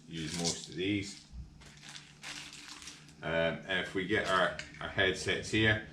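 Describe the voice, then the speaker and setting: A middle-aged Scottish man casually explaining a kit installation in a workshop, relaxed and full of ums. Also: low-pitched. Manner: relaxed, hesitant with frequent ums. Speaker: workshop vlogger. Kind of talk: casual how-to demonstration. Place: large indoor workshop. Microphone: camera mic from overhead. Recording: echoey workshop with faint hum.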